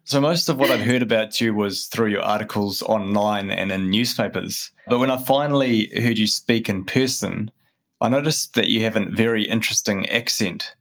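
A man's voice: fairly low pitch, normal speed, a bit bright. A man asking questions conversationally as an interviewer.

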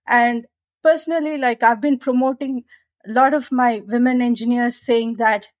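A woman's voice: on the high side, fairly even intonation, normal speed, no room sound. A middle-aged woman from India with an Indian English accent, answering interview questions earnestly and with determination. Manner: earnest and determined, thinks aloud with restarts. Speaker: guest. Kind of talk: conversational interview.

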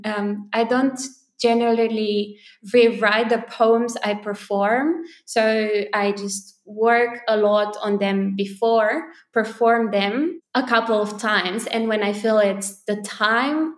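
Woman poet in a roomy space. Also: a little high. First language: Romanian. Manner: thoughtful, laughs often, hesitant restarts. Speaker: poet guest. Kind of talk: reflective interview talk. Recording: roomy echo.

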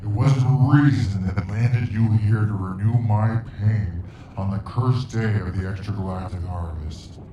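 A man's voice: lowish voice, measured pace, dark tone, lo-fi sound, lightly acted. A man playing a vengeful, grieving ancient interdimensional monster in a sci-fi audio drama, speaking in grandiose, formal menace. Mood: bitter menace. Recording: noisy, echoing, distant-sounding.

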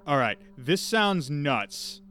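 A man's voice: a little high, very animated, takes it slowly, a bit bright. A man chatting casually as a tabletop game player, inquisitive and reasoning aloud.

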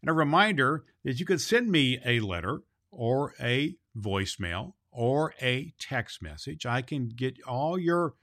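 Bright-voiced jolly Santa Claus, played by a man. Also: mid-range voice, very animated, a little slow, few pauses. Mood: jolly, kindly. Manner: cheery, folksy, addresses children directly. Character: jolly, kindly Santa Claus hosting a children's show. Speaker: host and storyteller. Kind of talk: children's storytelling show, addressing boys and girls.

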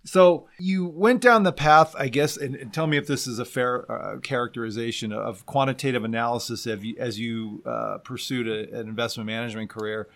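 A man's voice: medium pitch, brisk, gravelly, few pauses, a bit bright. A man hosting an interview podcast, introducing and talking with his guest in a conversational style.

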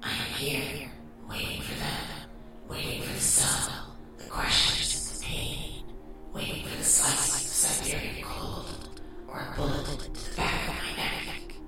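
Audio drama: ancient female witch spirit, played by a woman, breathy and defiant, slow chanting delivery, cavernous echo, loud music. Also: rumbling low, flat delivery. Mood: grim, defiant. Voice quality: breathy, whispered. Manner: incantatory, deliberate, repeating phrases. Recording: distant, heavily echoing, under loud music.

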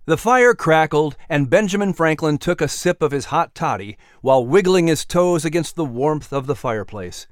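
Male children's story narrator: higher-than-average voice, scripted, very expressive. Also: normal speed, hardly any pauses, no room sound, clean studio sound.

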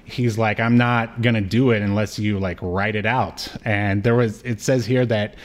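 A man's voice: fairly low pitch, fairly even intonation, normal speed. A man co-hosting a podcast, chatting casually and jokingly as he rambles through a recap of a TV show. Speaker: podcast co-host. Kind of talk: casual comedic recap chat about a TV show.

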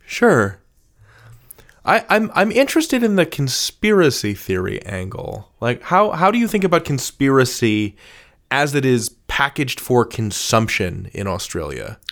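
A man's voice: medium pitch, sing-song, measured pace, a bit bright. An American man working as an interviewer, chatting casually in an inquisitive, conversational manner. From United States.

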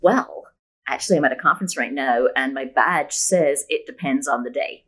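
A woman's voice: very deep voice, normal speed. A middle-aged woman from Scotland answering interview questions as a guest, talking conversationally and reflectively, explaining things with examples. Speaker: guest, novelist.

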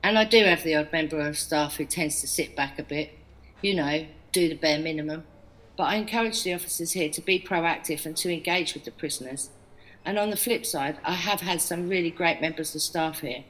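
A measured, earnest English prison manager talks about staff and prisoners in an audio drama. Her voice sits fairly low and stays reasonable. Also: a bit bright, low-quality recording. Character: woman prison staff member, earnest, defensive.

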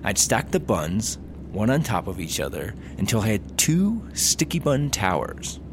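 A man playing an excitable eager traveler. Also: measured pace, noisy background. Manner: excitable, pitch jumps high when excited. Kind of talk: comedy audio drama acting.

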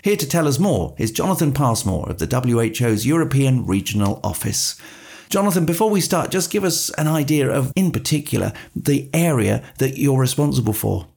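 Husky male interview host. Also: medium pitch, sing-song, moderate pace, a little mellow. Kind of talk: professional interview hosting.

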